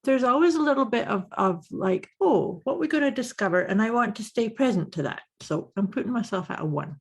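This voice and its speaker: Soft-spoken husky Canadian-Scottish woman. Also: fairly high pitch, expressive, brisk. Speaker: podcast co-host. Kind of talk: casual chat.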